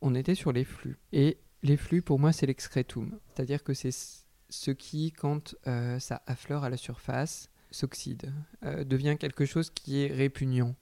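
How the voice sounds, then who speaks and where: A man's voice: medium pitch, fairly even intonation, unhurried, vocal fry, dark tone, dry recording. A man speaking as a textile artist in an interview, giving reflective answers and often hesitating while he searches for words.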